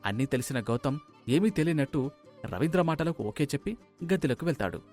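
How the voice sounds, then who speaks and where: Male storyteller over soft music. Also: medium pitch, animated, brisk. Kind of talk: scripted story narration.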